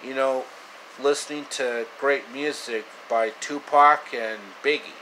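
A man in his thirties narrating a homemade story with halting delivery, recorded at arm's length in a small room. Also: medium pitch, steady tone, bright, forceful tone, a little hiss or hum, rough recording. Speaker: storyteller, video creator. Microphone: phone or webcam at arm's length.

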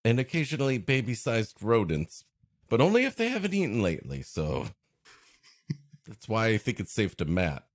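A man's voice: on the low side, wildly swooping pitch, normal speed, no echo, studio quality. A man co-hosting a comedy podcast, improvising rambling banter in a mock-earnest manner. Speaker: podcast co-host.

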